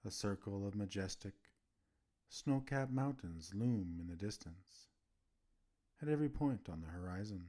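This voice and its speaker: A man narrating a scripted guided relaxation as a meditation host, soothing, with gentle pauses between phrases. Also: lowish voice, lively intonation.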